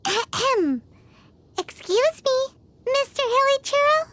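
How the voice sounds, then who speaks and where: A woman voicing a tiny, blunt, excitable fairy companion in a video game. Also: slow pace, heavily acted. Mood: chatty. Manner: blunt, squeaky, exclamatory. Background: game music.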